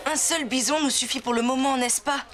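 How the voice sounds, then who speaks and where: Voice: high voice, fairly even intonation, a little fast, noisy background, lightly acted. A performer voicing an earnest, determined and stubborn young Sioux boy in a French cartoon dub. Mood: indignant. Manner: earnest, spirited. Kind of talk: French animated series dubbing.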